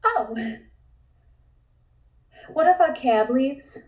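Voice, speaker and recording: A woman's voice: high voice, expressive, very slow, heavily acted. A woman playing an uneasy, tentative woman in a comic table read. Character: uneasy woman, softly apprehensive. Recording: roomy, dull home microphone.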